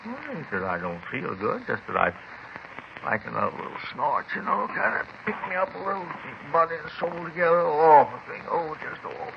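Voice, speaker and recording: Boozy, evasive radio-drama neighbor: folksy, swooping, gravelly rambler on a dulled old recording. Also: male voice, fairly high pitch, sing-song. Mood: tipsy, self-pitying. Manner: folksy, repetitive, halting, ungrammatical. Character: boozy, rambling, evasive male neighbor who likes a drink. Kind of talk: 1950s radio drama acting. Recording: muffled, noisy old 1950s radio recording.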